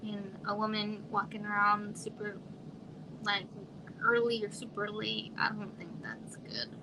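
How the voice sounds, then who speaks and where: Soft-spoken chatty woman on a noisy livestream. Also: medium pitch, a little slow. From Arizona, US. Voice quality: quiet voice. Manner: soft-spoken, chatty, trailing off, drops to whispers. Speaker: livestream host. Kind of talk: casual live chat with viewers. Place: home room. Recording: noisy home livestream audio.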